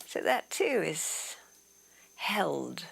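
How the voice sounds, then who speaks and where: A woman teaching yoga nidra, guiding relaxation in a soothing, unhurried way that often trails off into breathy softness. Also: low-pitched, very animated. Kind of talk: guided relaxation meditation instruction.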